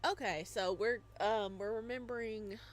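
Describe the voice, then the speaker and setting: A woman's voice: mid-range voice, moderate pace. A young woman hosting a casual live stream, chatting and laughing as she plays a visual novel and greets her viewers by name. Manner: bubbly, giggly, greets chatters by name, hums to herself.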